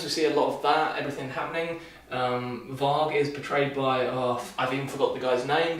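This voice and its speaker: A man's voice: mid-range voice, a bit bright. A young man vlogging casually while shaving, talking loosely with lots of restarts and dry sarcasm. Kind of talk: casual rambling film review. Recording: echoey room, mic far off.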